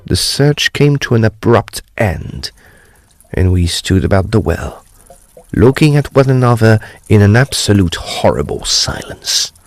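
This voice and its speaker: A man playing a courteous, precise gentleman ghost hunter, telling his gothic tale in a formal, deliberate, expressive way. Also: fairly low pitch, no echo. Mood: grave, suspenseful. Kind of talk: dramatised gothic storytelling.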